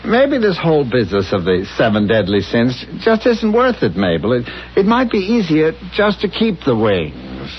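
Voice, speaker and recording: An actor playing a meek, anxious, well-meaning husband who stammers and hesitates, in 1970s radio drama style. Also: male voice, mid-range voice, wildly swooping pitch, normal speed, few pauses, slightly rough. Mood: miserable. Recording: muffled 1970s radio recording with music.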